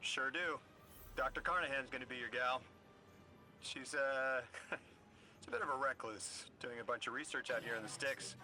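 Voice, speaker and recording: An actor voicing a bewildered man displaced centuries from his own time, halting and searching for words, in a video game cutscene. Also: mid-range voice, soft background music. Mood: folksy. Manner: anguished and halting when grieving, folksy and rambling when relaxed.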